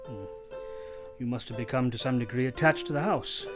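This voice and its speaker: A man playing a brooding, teasing gentleman master in love, with formal, theatrical delivery in a radio play. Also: medium pitch, fairly quick, music in the background, natural voice. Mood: musing, regretful.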